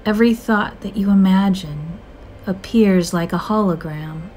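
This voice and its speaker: A woman narrating a scripted guided meditation in a soothing, measured, gentle manner with drawn-out phrases. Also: medium pitch, a little hiss or hum. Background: soft music.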